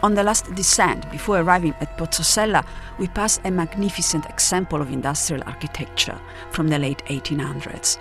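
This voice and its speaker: A woman's voice: deep voice, brisk, few pauses. An Italian woman with an Italian accent, narrating in a reflective, poetic, scripted style. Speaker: narrator of an audio drama. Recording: music behind the voice. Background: music.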